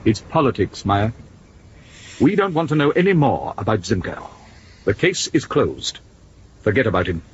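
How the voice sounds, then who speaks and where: An actor playing an evasive, quietly menacing senior male official, measured and condescending, in a 1970s British radio drama. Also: lowish voice, sing-song, breathy edge, exaggerated voice. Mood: veiled threat. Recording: dull, lightly noisy 1970s radio recording.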